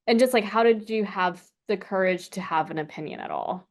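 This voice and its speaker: A woman's voice: mid-range voice, a little fast. An American woman from South Texas talking informally as an interview guest, often saying "like". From South Texas, United States. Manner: informal, frequent "like". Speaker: interview guest, content creator. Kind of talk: casual conversational interview.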